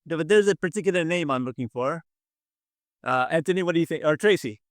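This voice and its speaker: Guy hosting a casual online trivia game, voice on the higher side, encouraging, dry sound. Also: expressive, fast, no room sound. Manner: encouraging, repeats himself. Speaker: quiz show host. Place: online video call.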